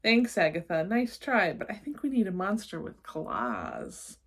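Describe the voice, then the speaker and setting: A woman's voice: fairly low pitch, huge pitch swings, measured pace, breathy edge, full character voice. A woman reads as a plucky young boy in a children's storybook. He is an earnest big brother, polite and eager, coaxing as he talks. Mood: polite, hopeful. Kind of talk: children's storybook read-aloud.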